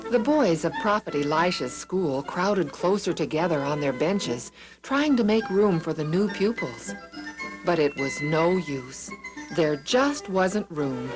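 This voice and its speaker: Male storybook narrator, highly varied intonation, dramatic read for children, soft music bed underneath. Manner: animated, dramatic, voices the characters. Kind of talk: expressive scripted storybook narration.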